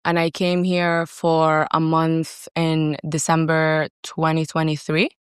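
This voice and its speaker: A woman's voice: deep voice, monotone, slow, clear, resonant tone, no room sound, clean studio sound. A woman from Saudi Arabia talking casually and self-assuredly as a guest in an interview, with emphatic delivery. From raised in Saudi Arabia. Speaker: podcast guest, entrepreneur.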